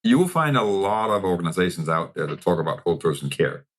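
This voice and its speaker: A man with a very low voice and a Danish accent. He talks as an interview guest, explaining in a measured way and posing questions to himself as he goes. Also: big pitch swings. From Denmark. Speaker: interview guest, technology executive.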